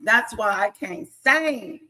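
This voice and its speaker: A woman with a raspy voice hosting a livestream, chatting frankly and casually with her guest. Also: a little high, animated, slow pace, bright, forceful tone, poor audio. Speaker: livestream host. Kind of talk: casual chat.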